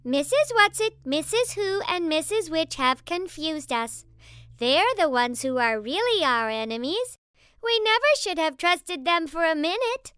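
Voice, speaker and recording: Eerie young storybook boy, acted by a woman: very high, sharp, insistent, coolly reasonable. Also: a little slow, few pauses, no echo, clean studio sound, heavily acted. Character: eerily reasonable young boy under sinister control. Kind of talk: audiobook character acting.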